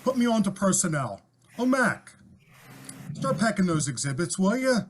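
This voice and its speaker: A man playing a brisk, businesslike police inspector in an old-time radio mystery, curt and authoritative. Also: sits high, big pitch swings. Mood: brisk, official.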